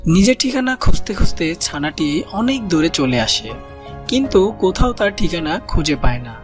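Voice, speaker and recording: A man's voice: high-pitched, very animated, normal speed. Man narrating a children's cartoon over music. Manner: gentle, storybook telling, addresses children as friends. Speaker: storyteller. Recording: voice-over with loud background music.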